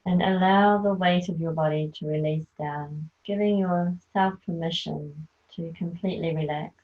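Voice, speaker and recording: A woman leading a guided yoga nidra relaxation, speaking softly and soothingly as she gives gentle instructions. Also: deep voice, steady tone. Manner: slow, soft, soothing instruction. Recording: tinny phone-like sound.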